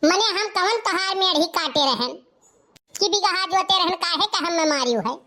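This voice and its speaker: A man's voice: extremely high pitch, animated, normal speed, bright, forceful tone, exaggerated voice. A man voicing a cheeky, argumentative village lad in a comic cartoon, with a whiny, protesting manner and an Awadhi accent. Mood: defiant complaining.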